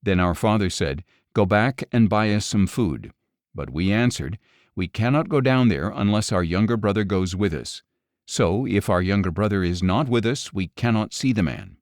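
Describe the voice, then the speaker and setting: A man reading as an earnest, pleading brother, lowish voice. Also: expressive, moderate pace, no room sound, clean studio sound, close to an everyday voice. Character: pleading, humble adult brother interceding for his family.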